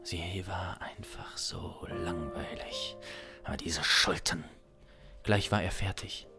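A man in his thirties improvising a dark crime narration in a dramatic, intense manner, close on a studio mic. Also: deep voice, steady tone, takes it slowly, whispered. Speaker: improvising audio drama performer. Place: small home studio, indoors. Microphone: close studio condenser mic. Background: instrumental music.

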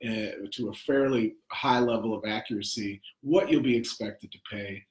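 A man from Cincinnati, an admissions director, explaining in measured, formal phrasing. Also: on the low side. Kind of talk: informational panel talk. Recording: echoey conference room.

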